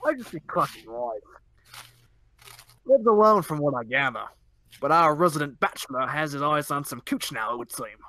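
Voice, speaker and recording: Man acting brash boozy woman, gruff, sardonic, rustic speech, animated, dry close sound. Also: a little high, normal speed, long pauses, no echo. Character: crude, gin-swigging, sardonic, brash woman.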